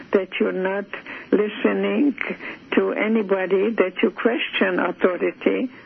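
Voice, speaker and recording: An older woman whose first language is Hungarian, a guest in an interview, speaking slowly and hesitantly with many pauses and restarts. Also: medium pitch, gravelly, husky. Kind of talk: phone interview. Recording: tinny phone line, faint hiss.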